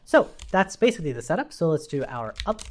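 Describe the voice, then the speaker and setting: A man's voice: on the high side, big pitch swings, brisk, darkish tone. A man giving an informal live-coding tutorial, thinking aloud as an instructor. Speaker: programming instructor. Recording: noticeable noise, keyboard clicks and typing. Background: computer keyboard typing.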